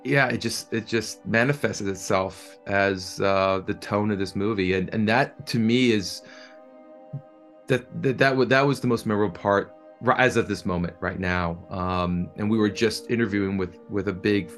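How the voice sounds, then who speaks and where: A man's voice: fairly low pitch, normal speed, fairly bright tone, soft background music. A man being interviewed as a producer, talking earnestly and enthusiastically, often repeating words as he searches for his phrasing. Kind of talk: reflective interview talk.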